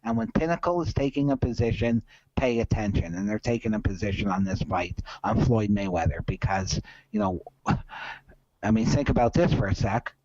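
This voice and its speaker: A man's voice: fairly low pitch, expressive, normal speed, a little mellow, dry recording, highs cut off. A man hosting a sports betting podcast in casual commentary, opinionated and emphatic, repeating his points for stress.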